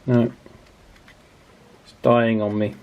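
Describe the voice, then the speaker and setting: A man's voice: mid-range voice, flat delivery, slow, narrow, tinny audio, slight background noise. A man giving casual, explanatory repair commentary as a channel host, in an informal, matter-of-fact and somewhat hesitant way.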